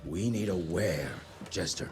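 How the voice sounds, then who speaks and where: An actor playing a foul-mouthed, cantankerous frontier gunslinger with a short temper in a video game cutscene. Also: male voice, low-pitched, a little slow. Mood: gruff grumbling. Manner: blustering, cantankerous.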